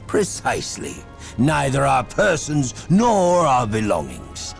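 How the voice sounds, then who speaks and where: A man playing a reverent priestly adviser in a video game, speaking in a formal, measured, theatrical way. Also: medium pitch, huge pitch swings, gravelly, full character voice. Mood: grave. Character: reverent male priestly adviser to a warrior sisterhood. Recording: prominent game music behind.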